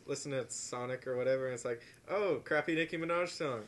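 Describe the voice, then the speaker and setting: A man's voice: mid-range voice, quick pace, background noise. A man hosting a podcast in casual, conversational chat among friends. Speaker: podcast host.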